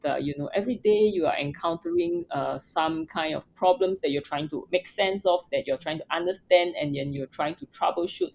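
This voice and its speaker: Woman from Singapore, podcast guest; animated, earnest, slightly dull audio. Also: fairly low pitch. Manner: earnest, many fillers and restarts. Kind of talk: casual interview.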